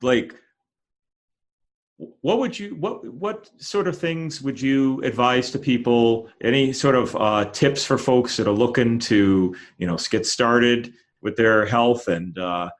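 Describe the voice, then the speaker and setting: A man's voice: mid-range voice, unhurried. A man hosting a podcast, chatting casually in a conversational, rambling way with many restarts. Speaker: podcast host.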